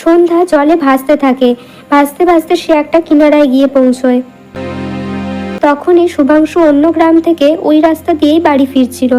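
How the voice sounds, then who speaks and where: A woman's voice: high voice, steady tone, fairly quick, music in the background. A woman reading scripted narration for a cartoon folk tale.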